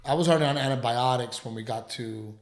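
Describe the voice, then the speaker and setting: A man co-hosting a casual podcast at home, talking in halting, rambling runs, with a lingering dry cough. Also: medium pitch, echoey room. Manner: rambling, halting, full of restarts. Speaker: podcast co-host, comedian. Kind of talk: casual chat.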